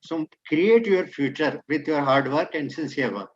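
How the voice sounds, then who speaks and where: A man's voice: fairly high pitch, animated, normal speed. Man, Indian English accent, Hindi native, motivational speaker, quotes sayings then explains, slightly muffled recording. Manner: reads out maxims then explains them, lecturing. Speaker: guest speaker.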